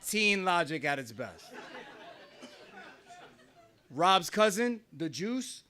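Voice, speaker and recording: A man's voice: high voice, very animated, slow, bright, forceful tone, some chatter behind. A middle-aged man from New Jersey telling a wry, conversational personal story on stage at a small live venue, often laughing as he talks. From New Jersey, USA.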